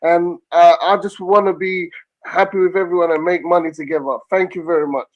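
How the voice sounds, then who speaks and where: A man's voice: sits high, steady tone, a little fast, lo-fi sound. A man in his thirties, tired from little sleep, giving an eager, effusive testimonial over a video call from a small room. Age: 30s. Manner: eager, effusive, repeats himself. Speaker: call participant.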